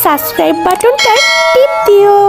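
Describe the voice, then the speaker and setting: Very high-pitched woman presenter. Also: lively intonation, moderate pace, creaky. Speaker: channel presenter. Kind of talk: scripted sign-off to young viewers. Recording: very loud music behind.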